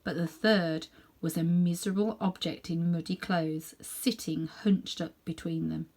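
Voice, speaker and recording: A woman reading a children's book aloud in an animated, dramatic way, sometimes softening toward hushed tones. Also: on the low side, unhurried. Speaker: storybook reader. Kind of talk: expressive read-aloud narration for children.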